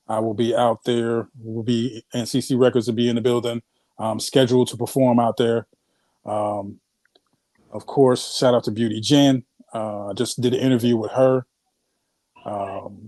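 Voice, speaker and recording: A man co-hosting a podcast, giving shout-outs in a halting way with many fillers. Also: medium pitch, no echo. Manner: hesitant, lots of "uh" and "um" while giving shout-outs. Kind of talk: casual podcast chat.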